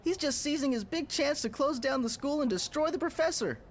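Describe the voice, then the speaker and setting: A man's voice: very high-pitched, animated, a little fast, a little hiss or hum. A woman playing an indignant, defiant teenage mutant boy in a motion comic, heated and slangy. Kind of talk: motion comic character acting.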